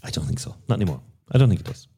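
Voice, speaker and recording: Deep, dark-voiced man. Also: very animated, very fast, slightly rough. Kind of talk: casual chat.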